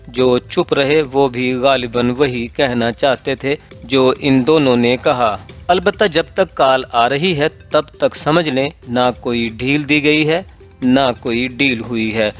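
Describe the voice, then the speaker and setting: A man with a Pakistani accent reading a political column as scripted narration. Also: medium pitch. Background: background music.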